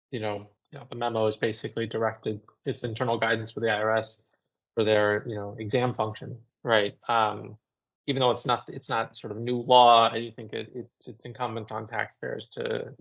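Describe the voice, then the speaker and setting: A man with a lowish voice and plenty of fry gives measured advice as a panel specialist, hedging conversationally as he goes. His intonation stays fairly flat, and the audio is a little dull. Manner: measured advisory talk with frequent "you know" and tag questions. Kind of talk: expert panel discussion, unscripted.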